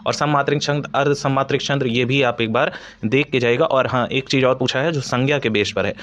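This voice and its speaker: A man's voice: medium pitch, brisk, fairly bright tone, a little hiss or hum. A man giving an informal exam analysis to students as a coaching teacher, explaining hesitantly and repetitively with English terms mixed in.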